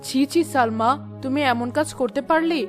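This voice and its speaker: A woman's voice: sits high, normal speed, music in the background, natural voice. A woman playing a disapproving neighbour aunt in a melodramatic cartoon, scolding and reproachful. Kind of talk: melodramatic cartoon story dialogue.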